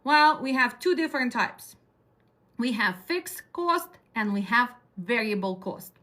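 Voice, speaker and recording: A woman's voice: on the high side, lively intonation, unhurried, pushed and bright. A middle-aged woman with a Ukrainian accent, lecturing a class on trucking costs in a direct way and putting questions to her students. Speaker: dispatch trainer.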